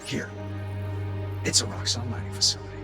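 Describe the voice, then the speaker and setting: An actor playing a cocky, ruthless artificial intelligence assistant in a video game, brash, showy and sarcastic. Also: male voice, brisk, loud music behind the voice, close to an everyday voice. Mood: eager, scheming. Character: cocky, ruthless, wisecracking AI assistant of a weapons maker.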